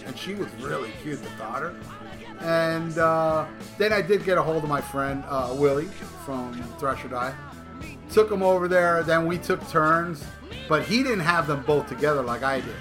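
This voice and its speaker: A middle-aged man hosts a casual podcast, his voice sitting a little higher than most men's. He tells a long, profane story with stumbling restarts. Music plays clearly beneath him throughout. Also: normal speed. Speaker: podcast host. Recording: music playing clearly underneath.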